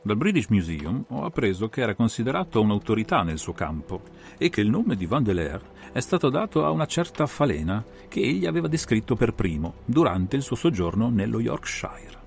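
A man performing an analytical gentleman detective recounting a solved case in a dramatized audiobook, with measured, theatrical phrasing. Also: lowish voice, big pitch swings, vocal fry, faint music behind. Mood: measured, explanatory. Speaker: audiobook reader and interpreter.

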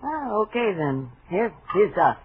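An actress in a 1940s radio drama playing a chatty, wary young vaudeville dancer, with an American accent and a halting, slangy way of talking. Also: female voice, mid-range voice, big pitch swings, lightly acted. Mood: tentative. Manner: halting, breezy, slangy show-business talk. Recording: muffled, noisy 1940s radio recording.

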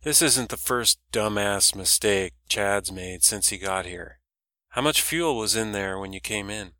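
Audiobook figure: man reading a dismissive middle-aged boss, low and bright. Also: a little slow, no room sound, clean studio sound. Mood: curt. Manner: curt, dismissive, then smooth salesman. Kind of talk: audiobook character voice.

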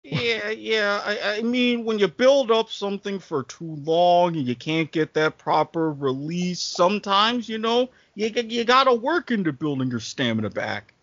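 A man's voice: high-pitched, expressive, normal speed, hardly any pauses, fairly bright tone, dry recording, muffled recording. A man hosting a comedic podcast with casual banter and a stammering, theatrical showman's delivery. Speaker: podcast host.

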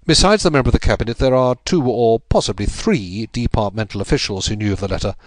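A man reading aloud, voicing an earnest younger statesman who explains in a hurried, anxious way. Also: mid-range voice, no room sound, natural voice.

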